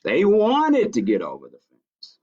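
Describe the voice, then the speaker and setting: A man reading picture books aloud to children as a library storyteller, expressive and adding his own asides about the pictures. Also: high voice, measured pace. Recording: dull lo-fi audio.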